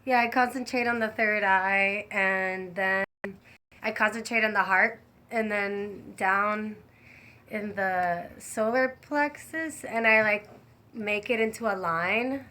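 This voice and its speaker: A woman's voice: medium pitch, slow pace, sharp tone. A woman co-hosting a livestream in casual chat, speaking informally with lots of filler "like". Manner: informal, frequent use of "like". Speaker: livestream co-host.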